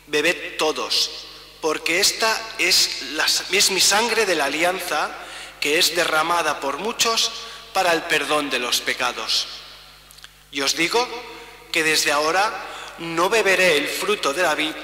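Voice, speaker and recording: A middle-aged man, a priest, reading a long Gospel passage aloud during Mass in a measured, solemn way, pausing between phrases. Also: on the high side, sharp tone. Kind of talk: slow liturgical Gospel reading. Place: church sanctuary, large indoor space. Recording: roomy church reverb, mic at a distance.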